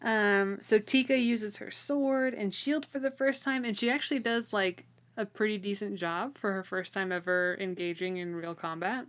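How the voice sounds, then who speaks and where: A woman's voice: medium pitch, moderate pace, fairly bright tone. A woman co-hosting a casual book discussion, retelling the story in an informal, wryly incredulous way. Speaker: co-host of a book read-along show. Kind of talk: casual chat, recapping a fantasy novel.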